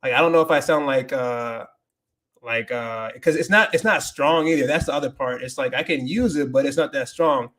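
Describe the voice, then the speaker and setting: A man's voice: mid-range voice, moderate pace, fairly bright tone. A young man hosting a casual livestream, answering viewer questions in a loose, rambling way full of restarts and filler words, slipping into Spanish with a Dominican accent. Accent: Dominican Spanish accent when speaking Spanish.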